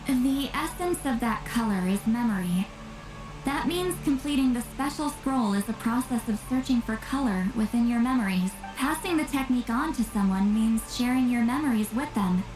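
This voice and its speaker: A woman acts as an aloof, ageless shaman woman from a fantasy game. Her high voice explains things with dry, wistful reserve. It rings in a roomy echo with game music clearly behind it. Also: lively intonation, moderate pace, natural voice. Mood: guarded, reflective. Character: aloof, reclusive two-hundred-year-old shaman woman with youthful looks. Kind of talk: scripted video game voice acting.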